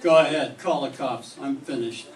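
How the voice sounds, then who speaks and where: An older man playing a sullen, hard-drinking young male fisherman in a 1940s-style radio drama, gruff and defensive. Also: mid-range voice, moderate pace, lightly acted. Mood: desperate. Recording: roomy classroom from a distant mic, faint hiss.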